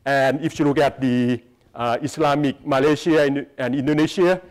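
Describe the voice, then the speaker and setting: Guest lecturer: middle-aged man, Thai accent, medium pitch, pausing mid-phrase as he presents. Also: fairly even intonation. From Thailand. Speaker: guest speaker, research institute president. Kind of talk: public lecture with slides. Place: lecture hall, on stage before seated audience.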